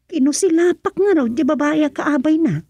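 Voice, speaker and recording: High-pitched grumbling daughter, acted by a woman. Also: normal speed, warm tone, close to an everyday voice. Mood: suspicious, complaining. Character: reluctant, grumbling young daughter of the family. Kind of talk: Ilocano radio comedy drama acting.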